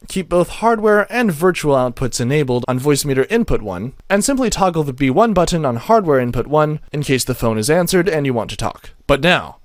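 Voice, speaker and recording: A man reading a scripted software tutorial as a voice-over, with a methodical, step-by-step instructional delivery. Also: fairly high pitch, no echo, studio quality. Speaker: tutorial narrator.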